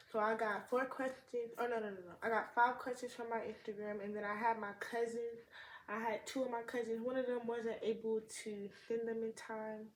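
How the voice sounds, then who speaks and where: A teenage girl with a slight lisp, chatting casually and rambling in slang as a vlogger, recorded on a tablet. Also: fairly high pitch. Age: teens. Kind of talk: casual chat to camera. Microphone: tablet camera mic. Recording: echoey room, faint noise.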